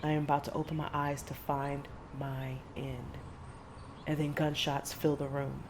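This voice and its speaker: A woman acting a low-voiced, grave female monster hunter. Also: level tone, a little slow. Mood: somber, tense. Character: Stella, grave monster-hunter mother recounting past horrors. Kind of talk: audio drama storytelling. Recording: faint background noise.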